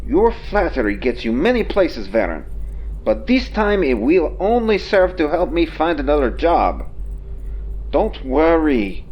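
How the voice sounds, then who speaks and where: A man's voice: a little high, very animated, unhurried, highs cut off. A man playing a nervous, theatrical starship engineer in a sci-fi audio drama. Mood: reassuring. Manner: theatrical, sprinkles in Spanish exclamations.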